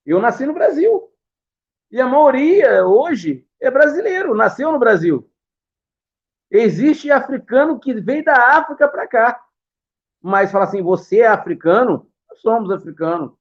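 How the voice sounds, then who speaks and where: A Brazilian-accented man with a high voice talks with earnest pride and keeps circling back to repeat his points. He speaks as a guest on a live video call from home. The sound comes through dull and lo-fi. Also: sing-song, a little slow. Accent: Brazilian Portuguese. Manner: earnest, proud, storytelling with repetitions. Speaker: capoeira master guest.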